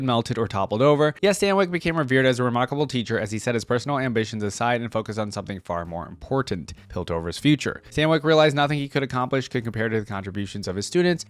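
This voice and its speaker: A male reaction creator speaks at a brisk clip in a dry, close recording. He reads written material aloud in a casual way and drops in conversational remarks of his own. His pitch sits in an average range for a man. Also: no room sound. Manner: conversational, interjects asides while reading. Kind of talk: reading a wiki aloud with casual commentary.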